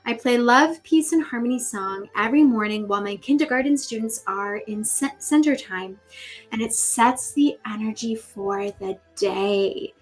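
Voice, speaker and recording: Host: woman, highish voice, effusive and gushing, reads comments from the chat. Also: normal speed, faint music behind. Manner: reads viewer comments aloud. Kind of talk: livestream talk show chat.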